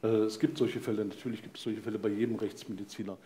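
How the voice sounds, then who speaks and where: A man's voice: lowish voice, flat delivery, quick pace, warm tone. A man in his sixties giving matter-of-fact, explanatory answers as an expert guest in an interview on stage, through a headset mic. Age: 60s. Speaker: forensic pathologist, guest. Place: stage in a vaulted venue with live audience. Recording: clear ambient noise of a live venue.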